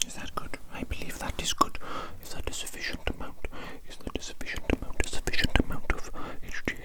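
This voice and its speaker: Breathy whispering ASMR evil doctor. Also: fast talker. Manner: repeats phrases softly, coaxing. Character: doting, chaotic evil doctor experimenting on a tiny organism. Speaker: ASMR performer.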